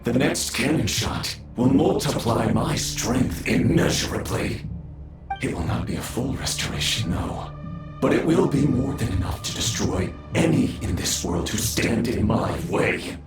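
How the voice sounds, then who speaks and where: An actor voicing an arrogant, power-hungry undead villain in a video game, speaking theatrically with condescending pauses. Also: male voice, mid-range voice, very animated, reverberant, music in the background. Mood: arrogant, menacing. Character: menacing undead male villain, arrogant sinner craving power.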